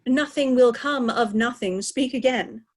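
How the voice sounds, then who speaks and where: A woman's voice: on the high side, a little slow. A woman playing an imperious aging king in a reading of Shakespeare, stately and commanding. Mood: stern. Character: aging king, imperious, dividing his realm among daughters. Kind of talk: Shakespearean reader's theatre.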